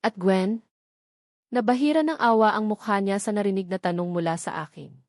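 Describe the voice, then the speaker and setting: A Filipino woman narrates a dramatic story in the first person. Her voice sits at a middle pitch, recorded close and dry with no room sound. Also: animated, fast, darkish tone, no echo. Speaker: audiobook narrator.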